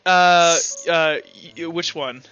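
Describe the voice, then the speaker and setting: A man narrating a tabletop roleplaying game as game master in a halting, informal, improvised way. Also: fairly high pitch, fairly even intonation, bright, forceful tone, clean tone. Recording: dull lo-fi audio, music underneath. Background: background music.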